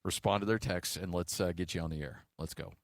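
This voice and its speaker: An American man hosting sports radio, bantering casually and telling stories in a jokey, animated way. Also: deep voice, brisk, no echo, clean studio sound.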